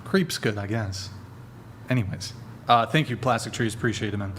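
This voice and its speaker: A man hosting a podcast panel, joking and hesitant, with lots of fillers, speaking into a desk mic in a bright studio. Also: fairly low pitch, moderate pace, a little hiss or hum. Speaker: podcast host. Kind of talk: casual panel chat. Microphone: desk mic on arm.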